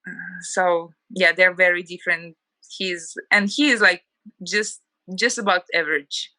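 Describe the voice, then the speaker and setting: A woman in her thirties from Ukraine speaks in a bright, forward voice with a Ukrainian accent. She chats loosely as an interview guest and strings her thoughts together with many "like" fillers, recorded dry with no room sound. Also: fairly low pitch, measured pace, no echo, rough recording. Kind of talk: casual interview chat.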